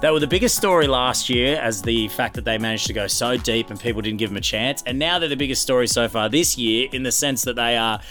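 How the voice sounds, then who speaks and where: A man's voice: mid-range voice, a little fast, hardly any pauses, pushed and bright, soft background music. A man from North Queensland with an Australian accent, hosting a casual, conversational sports show.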